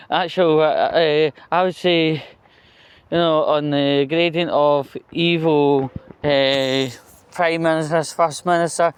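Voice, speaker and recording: A Scottish man with a slightly high, clean and smooth voice talks through his political opinions in a loose vlog style. He takes his time, rambling in a conversational way. His manner is blunt and sweary, and he often tacks a short question onto his points. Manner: conversational with frequent tag questions. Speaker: vlogger.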